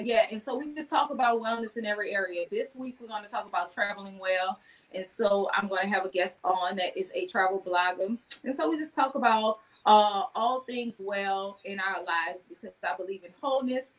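A woman in her thirties speaks as a guest on an online talk show. Her pitch is mid-range and her delivery is casual and conversational, heard over a video call. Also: a bit bright, rough recording. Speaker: guest, wellness business owner. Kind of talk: casual chat with self-promotion. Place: indoor room on a video call.

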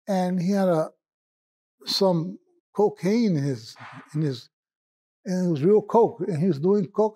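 A man with a Puerto Rican accent, a poet telling stories in a rambling, reminiscing way with filler pauses. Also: high-pitched, mellow. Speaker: poet guest. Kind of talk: relaxed conversational storytelling.

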